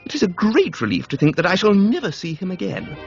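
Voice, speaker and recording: A man voicing a cheerful, dim, excitable young upper-class English gentleman in a comic radio reading, chatty and slangy. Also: high voice, quick pace, vocal fry, highs cut off, over music, voice pushed up, heavily acted.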